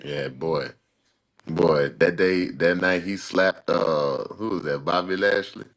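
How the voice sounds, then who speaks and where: A man chatting casually as a guest, laid-back, telling stories in a drawn-out way. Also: deep voice, fairly bright tone. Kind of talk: casual chat.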